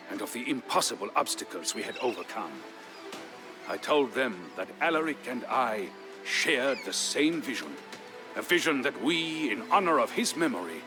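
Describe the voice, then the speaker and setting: An actor playing a solemn Visigothic warrior chieftain, telling his people's history as measured, theatrical narration for a strategy game. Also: mid-range voice, natural voice. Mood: resolute. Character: grave Visigothic warrior chieftain, the dead king's brother-in-law, recounting history. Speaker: story narrator. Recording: narration over prominent music.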